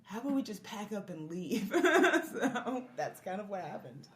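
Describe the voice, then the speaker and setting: A woman's voice: mid-range voice, lively intonation, normal speed, darkish tone. A woman speaking as a podcast guest, telling her story in a casual, conversational way. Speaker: podcast guest, marriage and family therapist. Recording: echoey room, faint background noise.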